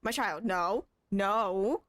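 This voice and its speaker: A woman's voice: mid-range voice, drawn-out words, a bit bright. A woman game streamer chatting casually while playing. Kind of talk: casual gameplay chat. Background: game music.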